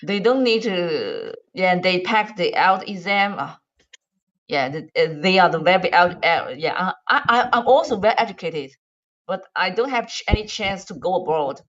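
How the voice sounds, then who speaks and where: A woman who is a native Chinese speaker with a Chinese accent, chatting casually in halting English and often repeating words. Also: on the low side, animated, fairly bright tone. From China. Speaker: medical device regulatory consultant. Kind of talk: casual language-practice chat. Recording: dull lo-fi online call audio.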